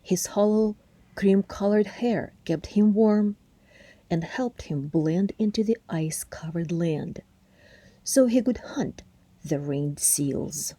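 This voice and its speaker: A woman narrating a children's story aloud expressively, with deliberate phrasing and hushed, breathy word endings. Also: medium pitch, no echo. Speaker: storybook reader.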